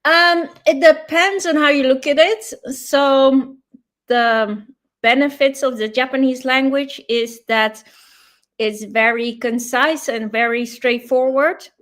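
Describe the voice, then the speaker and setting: A woman with a clearly high voice, Dutch her first language, talking through a topic at an easy pace. She explains patiently and leans on plenty of ums and uhs as she goes, in the relaxed back-and-forth of an interview. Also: takes it slowly. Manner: explains patiently with many fillers. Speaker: guest. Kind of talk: casual interview conversation.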